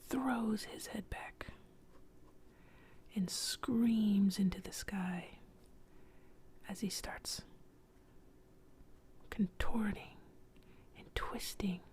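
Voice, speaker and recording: Slow whispering woman storyteller. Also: mid-range voice. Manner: soft unvoiced whisper, slow and calm.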